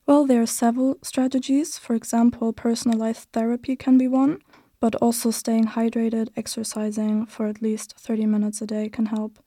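A woman in her early twenties speaks in a mellow, fairly high voice with little melody. She explains research findings in a measured, slightly read-out way. The sound is dry and close. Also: flat delivery, dry recording. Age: 20s. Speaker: student co-host. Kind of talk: reading research findings on a podcast.

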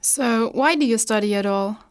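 Young female talk-show host, clear smooth tone, bright scripted delivery. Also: on the high side, normal speed.

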